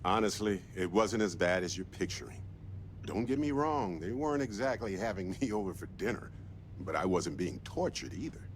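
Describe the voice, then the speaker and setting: An actor playing a roguish, upbeat space explorer in a video game, wry and joking in manner. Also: male voice, low voice, animated, moderate pace, slight background noise. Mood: wry, reassuring.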